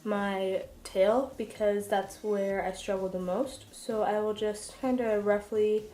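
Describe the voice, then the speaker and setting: A woman's voice: medium pitch, steady tone, slow, dark tone. Young female beauty vlogger, nasal and congested with a cold, informal and chatty, recorded in a roomy, echoey space. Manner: chatty, self-deprecating, informal. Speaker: beauty vlogger, makeup artist. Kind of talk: casual get-ready-with-me makeup tutorial.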